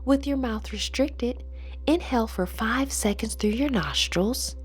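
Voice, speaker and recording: A woman leading a guided meditation and affirmations in a soft, breathy, soothing voice with measured, deliberate phrasing. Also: a little high. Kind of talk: slow guided meditation narration with spoken affirmations. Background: background music.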